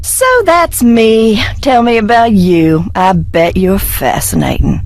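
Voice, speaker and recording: A woman playing an unhinged, eccentric young woman in a video game, with dramatic drawn-out phrasing and sudden swerves. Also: mid-range voice. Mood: manic, gleeful.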